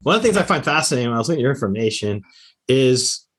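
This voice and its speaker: A man's voice: medium pitch, big pitch swings, racing, a bit bright, husky. A man hosting an interview, speaking in an encouraging, advice-giving way and asking his guest thoughtful questions. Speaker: host. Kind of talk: conversational interview.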